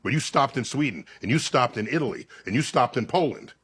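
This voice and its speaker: A man explaining science in conversation as a guest, emphatic and theatrical, telling it like a story with dramatic pauses and check-in questions. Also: medium pitch, lively intonation, fairly bright tone, husky.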